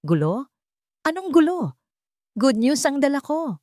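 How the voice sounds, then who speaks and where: A woman's voice: sits high, big pitch swings, measured pace, mellow, no echo, clean studio sound, voice pushed up. A woman voicing a cheerful middle-aged male landlord in a love story, jovial and exclaiming.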